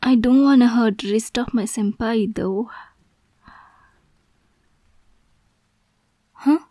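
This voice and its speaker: A woman's voice: a little high, dark tone, no room sound. A woman playing a young, obsessive yandere girlfriend kidnapper in an ASMR roleplay, cutesy and teasing with sweet menace. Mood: sneaky, excited. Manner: slow, cutesy, teasing, breathy asides.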